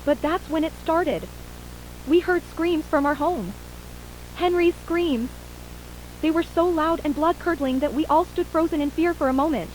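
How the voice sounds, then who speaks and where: Shaken mother, high mellow voice, acted by a woman. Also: unhurried, rough recording. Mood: distressed. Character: shaken mother and wife, surviving witness of an attack. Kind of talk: acted interview in an analog horror audio drama. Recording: faint hum and hiss.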